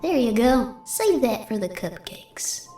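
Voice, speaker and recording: A smug young cartoon pony villain, played by a woman, breezy and confident with a drawl. The voice is high and very lively, with a roomy echo around it. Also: takes it slowly. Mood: coaxing. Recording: roomy echo under music.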